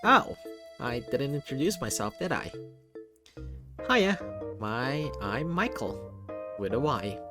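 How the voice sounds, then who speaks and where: Narrator acting a playful forest creature, visual novel game, raised lilting pitch, friendly, eccentric, hesitant, chatty. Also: big pitch swings, slow pace, long pauses, over music. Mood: chatty, cheerful. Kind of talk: visual novel character reading.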